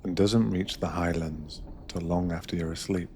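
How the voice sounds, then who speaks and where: A man narrating a soothing ASMR guided meditation as an attentive sleeper train attendant, gentle and unhurried. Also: low voice, fairly even intonation, a little hiss or hum.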